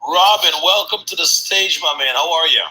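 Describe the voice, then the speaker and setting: Brash salesy man, bright forceful voice. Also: on the high side, normal speed, low-quality recording. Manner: brash, salesy, teasing, curses casually. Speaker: host of a sales session. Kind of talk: motivational sales talk.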